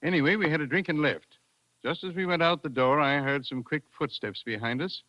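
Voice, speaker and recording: An actor playing a matter-of-fact, unruffled businesslike boss in a 1940s radio drama. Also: male voice, a little high, a little fast, pushed and bright. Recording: dull vintage radio recording.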